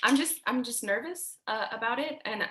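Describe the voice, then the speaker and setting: A woman acting a nervous patient who speaks haltingly and stammers in a staged play reading. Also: fairly high pitch, a bit bright, natural voice. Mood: nervous, hesitant. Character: nervous patient leaving a halting phone message. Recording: roomy, echoey sound.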